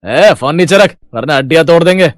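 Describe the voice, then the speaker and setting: A man's voice: on the high side, lively intonation, fairly quick, no echo, studio quality, lightly acted. A man voicing a threatening, domineering village thug in a cartoon story. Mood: menacing. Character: menacing village thug extorting money.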